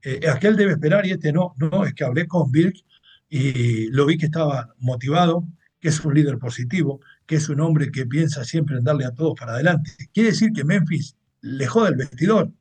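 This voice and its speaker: Husky Mexican man hosting football talk. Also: a little high, expressive, normal speed, a little mellow. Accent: Mexican Spanish. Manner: chatty, opinionated, translating clips. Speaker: football show host. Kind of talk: casual sports commentary monologue.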